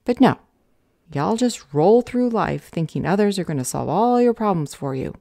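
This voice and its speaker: A woman's voice: lowish voice, animated, normal speed, vocal fry, warm tone, no room sound, clean studio sound. A woman playing a jaded, condescending government customer service clerk in an audio roleplay, bureaucratic and unhelpful. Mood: lecturing, condescending. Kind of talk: audio roleplay drama acting.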